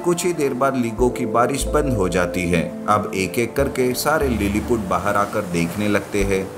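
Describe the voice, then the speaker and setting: A male narrator tells a scripted children's tale in a fairly low voice. Music plays clearly beneath his storytelling. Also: animated, unhurried. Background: background music.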